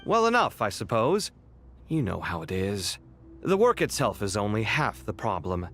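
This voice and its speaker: An actor playing a conscientious young scholar in a video game, expressive and theatrical, quick to grumble. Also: male voice, fairly low pitch, soft background music, full character voice. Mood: exasperated. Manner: animated, theatrical. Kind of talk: video game dialogue acting.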